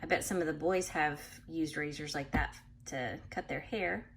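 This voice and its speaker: A woman reading a picture book aloud to children in an animated way, pausing to explain things patiently in simple words. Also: low voice, a little hiss or hum. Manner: animated, acts out animal sounds, addresses young listeners. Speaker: read-aloud storyteller for children.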